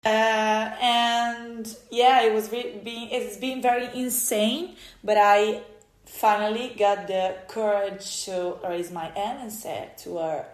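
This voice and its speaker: An Italian woman with an Italian accent, speaking English as a second language, tells a personal story in a halting, emotional way with many restarts. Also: mid-range voice, fairly even intonation. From Italy. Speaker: vlogger. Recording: echoey room.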